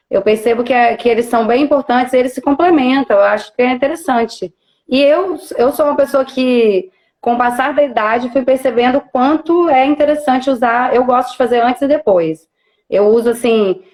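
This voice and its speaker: Mulher de voz um pouco aguda, conversa descontraída. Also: female voice, fairly high pitch, low-quality recording. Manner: relaxed, chatty, many fillers. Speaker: physical educator and host. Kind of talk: casual live-stream interview.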